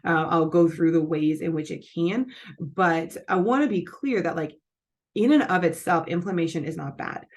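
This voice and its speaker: A woman hosting a nutrition channel, explaining things in a casual, chatty way with lots of fillers and self-corrections. Her voice is scratchy and congested from allergies. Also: low voice. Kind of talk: casual explanatory talk to camera.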